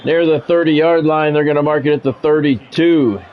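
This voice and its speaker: A man's voice: a little high, steady tone, normal speed, narrow, tinny audio, a little hiss or hum. Man calling a football video game play-by-play. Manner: radio-style play-by-play, breaks into casual swearing and greets chat viewers. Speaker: livestreaming play-by-play commentator.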